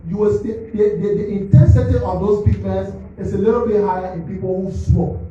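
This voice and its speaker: A man's voice: sits high, steady tone, a little fast, warm tone, slight background noise. Echoey far-off man lecturing on autopsy slides. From Liberia. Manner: teacherly, keeps asking "all right?". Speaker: forensic pathologist at a press briefing. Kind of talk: explaining autopsy slides to the press. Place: government press briefing hall. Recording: distant mic, echoey muffled hall.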